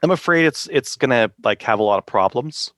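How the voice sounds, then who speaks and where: A man's voice: medium pitch, animated, a little fast, fairly bright tone, no room sound, clean studio sound. A man, co-hosting a podcast and talking casually and informally with slangy phrasing. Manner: informal, conversational, uses slang. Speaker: podcast co-host. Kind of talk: casual hobbyist chat.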